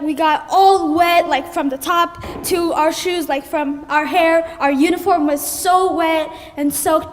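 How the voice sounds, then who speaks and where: Very high-voiced young woman storyteller. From Ethiopia. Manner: animated, acts out her own panicked thoughts. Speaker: student storyteller. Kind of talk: personal story told on stage. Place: stage in a hall at a live story slam. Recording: live stage with long hall reverb.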